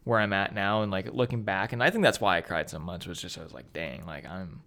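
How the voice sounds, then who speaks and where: A man's voice: low-pitched, a little fast, a bit bright. A man in his early twenties talking casually as a podcast co-host, in an informal, slangy and emphatic way. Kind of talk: casual chat about films.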